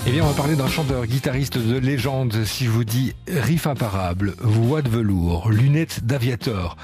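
A man's voice: medium pitch, normal speed, a little mellow, slightly rough, soft background music. A man hosting a casual radio segment, telling a musician's story in a chatty way with frequent fillers. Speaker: radio show host.

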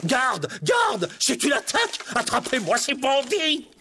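A cruel storybook prince, frantic and commanding. The voice is very high and yelled, swooping wildly. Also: male voice, huge pitch swings, a little slow, a little hiss or hum, full character voice. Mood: panicked fury. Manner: yelling, frantic. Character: cruel, panicking male prince, shrill and commanding. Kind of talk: storybook villain voice.

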